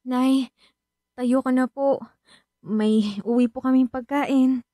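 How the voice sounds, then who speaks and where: A woman's voice: high voice, steady tone, takes it slowly, dark tone, no echo, studio quality. A young woman acting a dutiful, sensitive Filipino daughter and student in a radio drama. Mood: worried, pleading.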